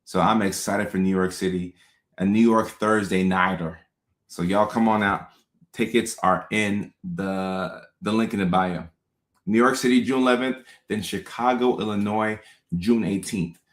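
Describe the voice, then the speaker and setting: A man in his thirties, a comedian born in Ghana, hosting a casual livestream, upbeat and repeating his thanks and shout-outs to viewers. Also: on the low side, fairly even intonation, unhurried. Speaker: comedian and show host. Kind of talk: casual livestream chat reading out viewer comments. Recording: roomy echo.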